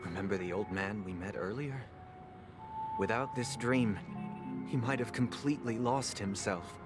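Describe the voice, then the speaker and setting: Casting: composed young man, voiced by a male actor, low pitch, formal and measured, stern game character, music clearly behind. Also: natural voice. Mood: grave, somber. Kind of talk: video game voice acting.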